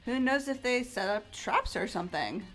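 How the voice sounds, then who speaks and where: A woman voicing an energetic, naive high school girl in a video game fan dub, bubbly and full of exclamations. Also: normal speed, faint music behind. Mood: concerned.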